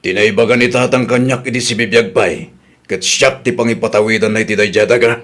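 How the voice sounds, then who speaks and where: A man performs a quarrelsome middle-aged uncle in a Filipino comedy radio drama. He is greedy and stubborn, with a mid-pitched voice and an argumentative, scolding delivery. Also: fairly even intonation, brisk, fairly bright tone, lightly acted. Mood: stubborn, grumbling. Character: greedy, quarrelsome uncle feuding over inherited land.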